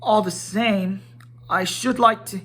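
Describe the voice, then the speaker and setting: A man's voice: high voice, steady tone, takes it slowly, a bit bright, slightly rough, pitched above the performer's own voice, heavily acted. A man voicing a nervous but stubborn hobbit in a storybook, prim and businesslike. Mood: flustered, determined. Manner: prim, putting on a businesslike air. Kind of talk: storybook character voice.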